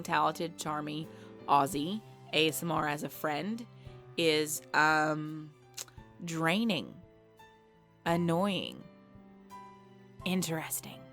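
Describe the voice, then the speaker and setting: Gravelly low-voiced woman host. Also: a bit bright. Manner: playful, self-deprecating, chatty. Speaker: ASMR channel host. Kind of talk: casual subscriber Q&A.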